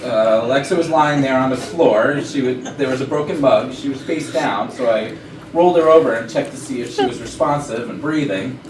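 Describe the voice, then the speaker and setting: A man's voice: mid-range voice, normal speed, natural voice. A man playing a defensive, smug corporate IT executive in a staged reading of a comic murder mystery. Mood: earnest, uneasy. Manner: defensive, indignant. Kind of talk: staged reading of a comedy play. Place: echoey rehearsal room. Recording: roomy, distant, noisy rehearsal recording.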